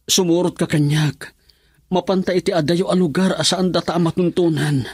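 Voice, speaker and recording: A husky, slightly high young man's voice with a Filipino accent, close and dry. He is the earnest, insistent sweetheart in a radio drama. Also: steady tone, moderate pace, no echo. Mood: tender, insistent. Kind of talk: Ilocano radio drama acting.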